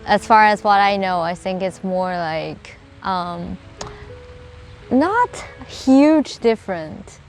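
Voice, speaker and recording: A young woman from China with Chinese as her first language, a college student answering interview questions in a halting, filler-heavy way. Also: medium pitch, expressive, faint music behind. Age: young adult. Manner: hesitant, many fillers and restarts.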